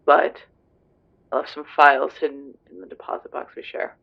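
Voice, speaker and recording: A man's voice: on the high side, steady tone, moderate pace, gravelly. A man playing a tough, serious police investigator on a phone call in a roleplay audio drama, terse and grave. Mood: worried, secretive. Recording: dull lo-fi phone-call sound.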